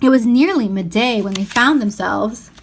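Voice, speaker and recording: A woman narrates a children's storybook in a fairly high voice. She reads expressively, shaping each phrase with deliberate care. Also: a little hiss or hum. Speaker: storyteller reading aloud.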